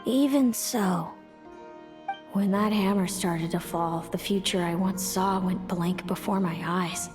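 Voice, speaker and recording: A woman playing a solemn, fatalistic young seeress in a video game, speaking in a measured, brooding, formal way. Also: medium pitch, a little mellow, soft background music, close to an everyday voice. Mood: grave, troubled. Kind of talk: dramatic video game voice acting.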